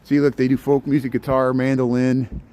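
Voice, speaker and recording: A man's voice: mid-range voice, level tone, normal speed, mellow. Chatty man with a slight Cincinnati twang. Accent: slight Cincinnati-Kentucky twang. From near Cincinnati, US Midwest. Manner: chatty, rambling, hams it up for viewers. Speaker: walking-tour vlogger. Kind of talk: casual walking-tour commentary. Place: city street outdoors at night. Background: faint street and traffic noise.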